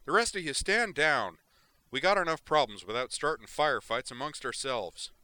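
A man voicing a gruff male security chief who bellows orders and roars at everyone. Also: mid-range voice, sing-song, fast, no echo, voice pushed up. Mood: bellowing. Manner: bellowing, shouting.

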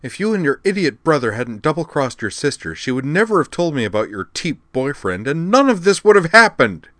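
A woman's voice: low-pitched, big pitch swings, fairly quick, no echo, clean studio sound, pitched above the performer's own voice. A man voicing a bitter, frightened young blonde woman who snaps and cries out in desperation. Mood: bitter, desperate.

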